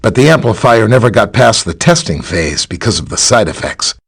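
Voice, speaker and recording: A man playing a friendly, helpful scientist superhero in a video game, with a chatty, polite, explanatory way of talking and a slightly husky voice. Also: lowish voice, very animated, brisk, exaggerated voice. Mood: explanatory, earnest. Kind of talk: scripted video game character dialogue.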